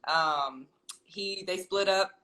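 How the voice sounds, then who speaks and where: A woman from California is a guest in a relaxed interview. Her voice is bright, and she speaks thoughtfully. She rambles a little and gathers her thoughts as she goes. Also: on the low side, takes it slowly. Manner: thoughtful, rambling, many ums and restarts. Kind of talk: casual interview chat.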